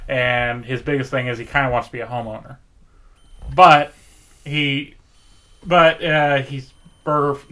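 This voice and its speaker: Casual man telling a story pitch. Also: a bit bright. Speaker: podcast co-host.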